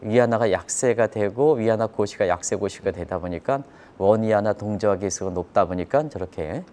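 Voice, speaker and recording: Man, lowish voice, economic commentator, explanatory delivery, repeats key terms, rhetorical questions. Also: normal speed, darkish tone, a little hiss or hum. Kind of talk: live financial news commentary.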